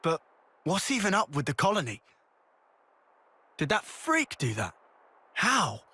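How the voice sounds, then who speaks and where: A brash young fighter, in a game cutscene. His voice is fairly high, husky and sharp. He talks bluntly and impatiently, recorded dry and close. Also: wildly swooping pitch, a little slow, dry recording, exaggerated voice. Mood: confused, agitated. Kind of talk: video game cutscene voice acting.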